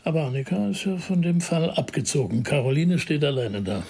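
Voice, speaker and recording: Blunt, husky higher-voiced policeman. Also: sing-song, brisk, mellow, exaggerated voice. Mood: insistent. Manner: blunt, insistent. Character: male police veteran, blunt, protective of his colleagues. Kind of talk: radio crime drama acting.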